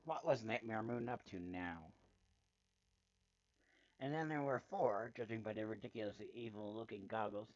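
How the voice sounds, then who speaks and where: A man's voice: fairly low pitch, moderate pace, pauses often, a little mellow, no echo, dull audio. A man reading a first-person story dramatically, in a theatrical, sardonic manner with the odd stumble over words. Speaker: amateur fanfiction reader.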